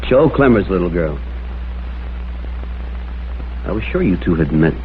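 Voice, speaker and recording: Low-voiced hard-boiled American private eye, tinny soundtrack. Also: male voice, sing-song, measured pace, a little hiss or hum. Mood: grave, pointed. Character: hard-boiled male private detective, wry, probing, coolly persistent. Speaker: actor playing detective and narrator. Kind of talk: mid-century American crime drama acting and narration. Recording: tinny vintage soundtrack, faint music behind.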